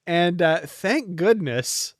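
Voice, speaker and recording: A man with a slightly high voice, recorded dry and close. He talks casually as a podcast co-host reviewing a show, with a chuckle in his delivery. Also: animated, slow, dry recording. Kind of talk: casual TV episode review chat.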